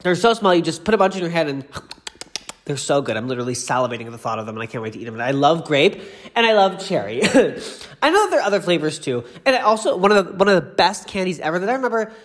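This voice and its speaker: A man's voice: fairly high pitch, very animated, quick pace, fairly bright tone. A man hosting a casual solo pop culture podcast, gushing and effusive, rambling off on asides and sounding congested from allergies.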